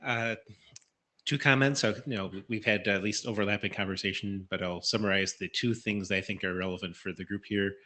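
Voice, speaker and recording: A man's voice: lowish voice, steady tone, fairly quick, sharp tone. A man giving informal technical comments from the floor of a meeting.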